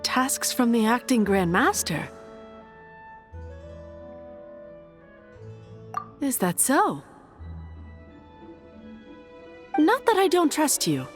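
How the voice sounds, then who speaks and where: A woman's voice: a little high, sing-song, measured pace. A woman playing a prim, pious young nun in an anime game, polite and formal yet firm. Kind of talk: dubbed anime game dialogue. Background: music.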